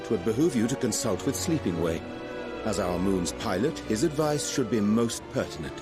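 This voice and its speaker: An actor playing a reserved, bookish sage in a fantasy video game, speaking in grave, formal, archaic phrases. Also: male voice, lowish voice, lively intonation, normal speed, over music, natural voice. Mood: advising. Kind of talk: scripted video game acting.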